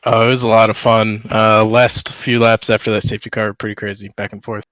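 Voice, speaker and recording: Male driver, mid pitch, dry close sound, short calm replies. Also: brisk, clear, resonant tone, dry recording. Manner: brief, easygoing answers. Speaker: race driver, second place. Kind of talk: post-race interview.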